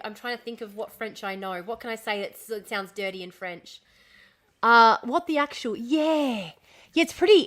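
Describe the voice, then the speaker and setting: Young streamer, hoarse from illness, swears a lot, sharp and bright, fairly high register. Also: female voice. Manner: ranting, lots of swearing and restarts. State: losing her voice, coughing. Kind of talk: casual live-stream chat reading comments.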